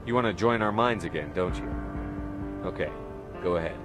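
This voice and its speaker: A hard sci-fi game commander acted by a man speaks in short, firm statements. The voice is low and authoritative, with music clearly playing behind. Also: moderate pace, close to an everyday voice. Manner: blunt, firm, clipped. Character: hard, commanding male space-navy officer, blunt and resolute. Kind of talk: video game cutscene acting.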